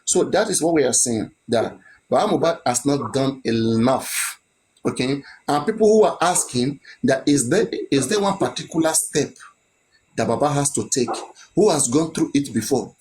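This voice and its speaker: A man's voice: mid-range voice, lively intonation, normal speed, darkish tone, rough recording. A man, giving his opinion in a chat and pressing his points with repeated rhetorical questions.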